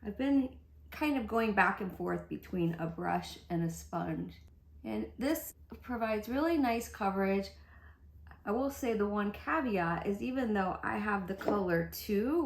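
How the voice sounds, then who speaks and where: A middle-aged woman with a congested voice, chatting casually through a makeup tutorial. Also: mid-range voice. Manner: chatty, upbeat, thinking aloud while applying makeup. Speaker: beauty vlogger. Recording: echoey room.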